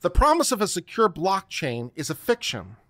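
A man's voice: high-pitched, wildly swooping pitch, normal speed, pushed and bright, no echo. A middle-aged man reading a text aloud in a dramatic, emphatic, declamatory manner into a close desk microphone. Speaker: host of a legal commentary livestream. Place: home studio room, close and dry. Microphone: desk microphone close to the mouth.

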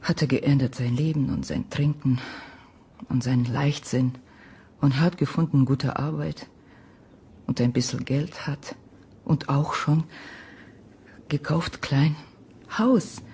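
An actress playing a sorrowful Ukrainian woman in a radio drama, speaking halting, broken German in a breathy half-whisper with an Eastern European accent. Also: low-pitched, warm tone, heavily acted. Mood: wistful. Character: sorrowful Ukrainian woman recounting a lost love. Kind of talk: radio drama acting, intimate storytelling.

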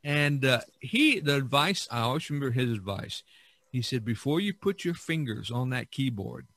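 A man in his sixties speaks as a podcast host in a dry-sounding recording. His pitch is average for a man, and he offers advice casually, rambling along with hesitations and little asides. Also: fairly bright tone, no echo. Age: 60s. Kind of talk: casual advisory monologue.

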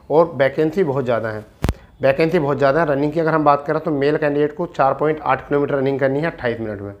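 A man gives an informal explanatory update to camera, repeating his points for emphasis. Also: mid-range voice. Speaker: exam-update video presenter. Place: small plain room indoors. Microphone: clip-on lapel mic.

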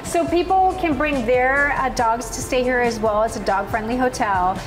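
A woman's voice: a little high, normal speed, over music, rough recording. A woman hosting a lifestyle show, chatting with guests in a hotel lounge in a friendly, upbeat way. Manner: upbeat, chatty, sprinkles in local words. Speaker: show host. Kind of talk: hosted interview chat.

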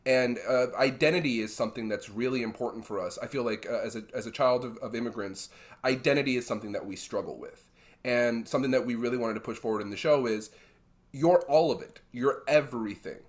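A man in his thirties with an American accent and a mid-pitched voice gives a reflective interview answer over a video call. He speaks emphatically, in halting bursts. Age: 30s. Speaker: actor, interview guest. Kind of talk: reflective personal storytelling. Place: home kitchen, video call.

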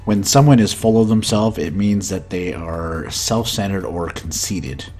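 A man's voice: sits low, measured pace, a little mellow, soft background music. A man in his forties teaching English with matter-of-fact definitions and scripted dialogue.

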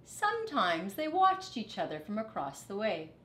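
A middle-aged woman reading a children's picture book aloud deliberately and expressively in a living room. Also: mid-range voice, huge pitch swings, fairly bright tone, reverberant. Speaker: storyteller, teacher. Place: living room, indoors on a couch.

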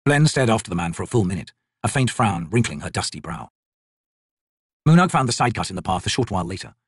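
Husky, expressive male audiobook narrator. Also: on the low side, quick pace, no room sound, studio quality. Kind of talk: scripted narration of a fantasy novel.

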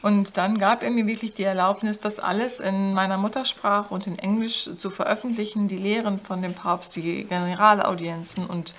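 An older woman interpreting a talk in a studio, halting, with many fillers and self-corrections. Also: mid-range voice, steady tone, a bit bright. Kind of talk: consecutive interpreting. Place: studio with backdrop.

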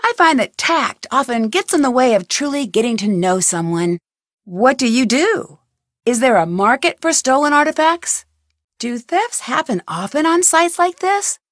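A curious, blunt young female detective from a video game, asking sharp, probing questions. Her voice sits fairly high, and the recording is dry and close. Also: very animated, moderate pace, no echo. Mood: inquisitive.

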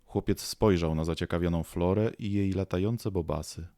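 A man's voice: low voice, moderate pace, dark tone, no echo, studio quality. A man reading scripted storybook narration as the narrator of a radio play.

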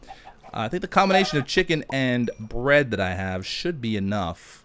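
A man's voice: medium pitch, very animated, moderate pace, noisy background. Rambling man chatting over gameplay. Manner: rambling, thinking aloud. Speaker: gaming YouTuber. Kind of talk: casual gameplay commentary with question-and-answer chat.

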